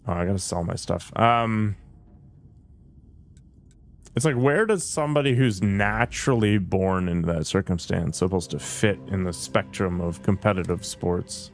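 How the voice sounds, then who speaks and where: Low-voiced man musing over gameplay. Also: normal speed, soft background music. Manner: musing, chatty, trailing off with fillers. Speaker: let's play streamer. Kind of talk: casual gameplay commentary.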